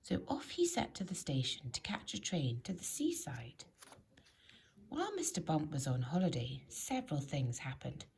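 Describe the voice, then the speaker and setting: A woman narrates a children's storybook with a very animated, swinging pitch. Her voice sits a little low for a woman and has a creaky, gravelly edge. She tells it expressively, putting clear emphasis on key words. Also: normal speed, slight background noise. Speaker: storybook reader.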